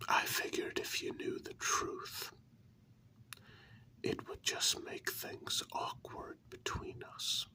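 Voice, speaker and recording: A performer whispering slowly and breathily in an ASMR role-play, playing a gentle, candid human confiding in a vampire partner. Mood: gentle, reassuring. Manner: slow, hushed, intimate, with pauses.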